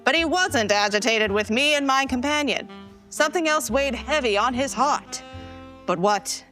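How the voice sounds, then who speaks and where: A woman plays a quirky young geek adventurer in a staged sci-fi comedy. The voice is fairly high and animated, casual and wry with a playful theatrical streak. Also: moderate pace, soft background music. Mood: mock noir narration. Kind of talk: live staged science-fiction audio comedy.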